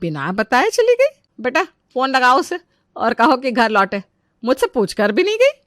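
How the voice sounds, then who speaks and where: Woman doing a nagging middle-aged saas, sarcastic n exasperated, sharp bright voice, very dry studio sound, comedy story. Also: high voice, huge pitch swings, normal speed, no echo, exaggerated voice. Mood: annoyed, searching. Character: exasperated middle-aged mother-in-law, sarcastic, loud, scolding. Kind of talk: animated family comedy story acting.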